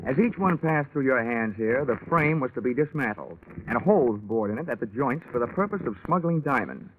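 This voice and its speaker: A man's voice: medium pitch, lively intonation, a little fast, slight background noise, natural voice. A man playing a wisecracking, unflappable American insurance investigator, dry and hard-boiled, in an old radio detective drama. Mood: cool, bargaining. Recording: tinny narrowband vintage radio recording.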